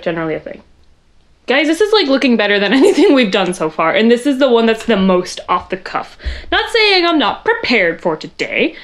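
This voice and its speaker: A young woman with a loud voice chatting casually in her bedroom while doing a makeup tutorial, rambling and poking fun at herself. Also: fairly high pitch. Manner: chatty, rambling, self-deprecating, talks to viewers while working. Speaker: makeup vlogger.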